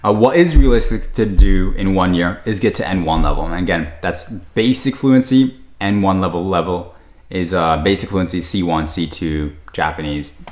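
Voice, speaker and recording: A man's voice: low voice, normal speed, darkish tone. Man in an echoey room. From United States. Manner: rambling, frequent "uh" fillers and self-corrections. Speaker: language teacher. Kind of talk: unscripted course introduction.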